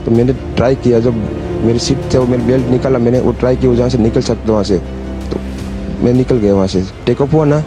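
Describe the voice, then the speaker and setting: Man's halting spontaneous account over music. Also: medium pitch, fairly even intonation. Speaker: accident survivor giving an account.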